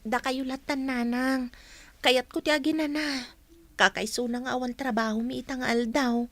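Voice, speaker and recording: A woman's voice: high-pitched, steady tone, normal speed, no echo. An actress playing a shy, reserved young woman in a radio drama. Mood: reluctant.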